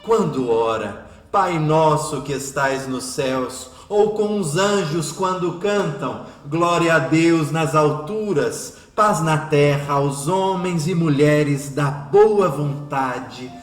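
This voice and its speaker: An actor plays a reverent man declaiming a prayer-poem for radio drama. His voice is fairly high and very slow, with long solemn pauses, echoing over faint music. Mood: fervent prayer. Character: reverent male spiritist poet praying to God.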